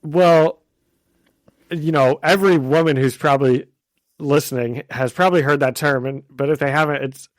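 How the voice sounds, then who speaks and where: A man's voice: a little high, steady tone, normal speed, no room sound, low-quality recording. A man co-hosting a podcast in casual chat, getting over a cold with a slight cough, chatty and laughing often. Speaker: podcast co-host.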